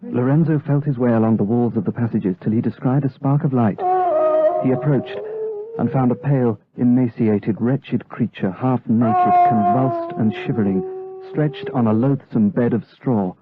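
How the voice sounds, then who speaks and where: A man's voice: mid-range voice, sing-song, normal speed, close to an everyday voice. Man acting an earnest gallant nobleman. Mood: solemn narration. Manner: formal, dramatic. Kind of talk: gothic audio drama acting and narration. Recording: tinny radio drama, faint noise.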